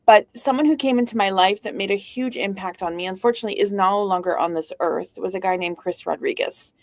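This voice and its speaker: A woman's voice: medium pitch, a little fast, darkish tone, dry recording. A middle-aged woman from Canada, a company founder chatting casually in an interview over a video call, witty and storytelling. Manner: witty, storytelling, uses "like" often. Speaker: guest speaker, founder.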